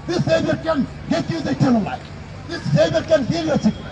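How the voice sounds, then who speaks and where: A middle-aged man delivers an open-air street sermon with a very high voice. He speaks emphatically and keeps repeating his declarations into a handheld mic. Behind him a busy city plaza hums with crowd chatter and traffic noise. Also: expressive, a little fast. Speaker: street preacher. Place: outdoor city plaza by a railway station. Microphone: handheld microphone.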